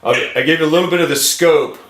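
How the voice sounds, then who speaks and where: A man's voice: a little high, fast, fairly bright tone. Informal man in an echoey room. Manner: encouraging, informal, frequent "man" and "cool". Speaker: film director casting an actor. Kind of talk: casual phone call and talk to viewers. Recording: roomy, echoey room sound.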